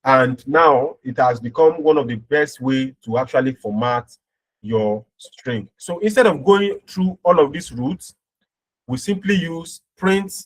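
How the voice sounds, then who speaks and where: A man's voice: medium pitch, lively intonation, a little slow, low-quality recording. Man teaching a step-by-step tutorial. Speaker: programming instructor.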